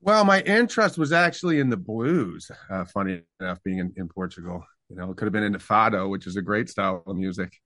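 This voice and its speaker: Man from Canada, middle-aged, bright forward tone, slightly low voice, dry close recording, reflective. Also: animated, quick pace, hardly any pauses, no echo. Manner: reflective, with frequent fillers and restarts. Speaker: guest singer-songwriter. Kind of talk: relaxed interview conversation.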